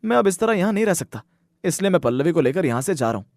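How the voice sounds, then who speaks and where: A man's voice: sits high, sing-song, fast talker, darkish tone, no echo, studio quality, voice pushed up. A man voicing an earnest, dutiful grown son and exasperated husband, speaking emphatically in a Hindi moral story cartoon. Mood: exasperated, angry.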